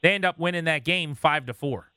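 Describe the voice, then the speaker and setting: A man hosting a sports radio interview, talking conversationally and recalling games in an animated way. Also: fairly high pitch, brisk, clear, resonant tone, no room sound, clean studio sound.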